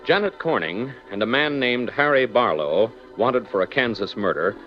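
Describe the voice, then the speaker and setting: Animated male 1940s radio announcer over music. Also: medium pitch. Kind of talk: 1940s radio drama narration, scripted. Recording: dull 1940s radio recording. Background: dramatic music.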